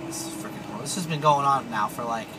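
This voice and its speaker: A man's voice: mid-range voice, fast talker, very noisy. A man chatting casually and profanely as he vlogs and banters on CB radio from inside a vehicle. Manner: crude, profane. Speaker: vlogger and show host. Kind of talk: casual vlog chat and CB radio prank banter. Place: inside a vehicle in highway traffic.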